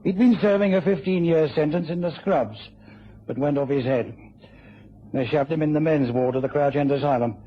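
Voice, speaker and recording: Earnest english copper in a radio drama, bit raspy, plain-spoken, dull old broadcast sound. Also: male voice, on the high side, moderate pace. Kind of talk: British radio drama acting.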